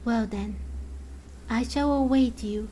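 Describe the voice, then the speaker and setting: A woman's voice: on the high side, dark tone, slight background noise. A woman voicing a serene, fanatical oracle in a fantasy game, making cryptic, portentous pronouncements. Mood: calm, ominous. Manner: slow, portentous, drawn-out pronouncements.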